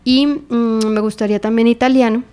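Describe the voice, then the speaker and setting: A woman's voice: a little high, fairly even intonation, moderate pace, warm tone, clear, resonant tone. A young Colombian woman with a Colombian Spanish accent, giving thoughtful interview answers full of small fillers. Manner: thoughtful, with many fillers like "eh" and "digamos". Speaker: guest.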